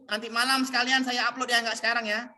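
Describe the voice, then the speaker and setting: A man's voice: very high-pitched, level tone, quick pace, crowd noise. An Indonesian man tutoring a class online in a casual, informal way, reassuring and often repeating himself. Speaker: online exam-prep tutor and schoolteacher. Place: at a school, nearly alone.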